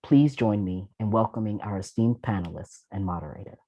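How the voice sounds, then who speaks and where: A man's voice: lowish voice, warm tone, dry recording, muffled recording. A man hosting a panel event, reading formal introductions of the speakers from notes with occasional filler sounds. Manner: measured, reads from notes with some filler "uh"s.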